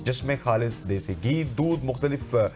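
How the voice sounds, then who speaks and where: Hoarse male morning show host. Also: medium pitch, lively intonation, normal speed, over music. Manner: formal announcer delivery with fillers. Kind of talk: morning TV presenting.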